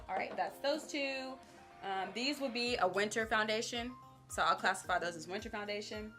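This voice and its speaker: A young woman casually chatting to camera as a beauty vlogger, upbeat and talking straight to her viewers, her voice a little raspy. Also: on the low side, bright, forceful tone. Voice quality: slightly raspy.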